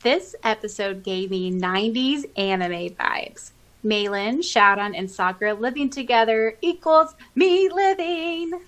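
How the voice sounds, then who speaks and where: A woman co-hosting a podcast, reading listener comments aloud with expressive, giggly, chatty reactions. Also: a little high, measured pace. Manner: expressive, giggly, interjecting exclamations. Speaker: podcast co-host.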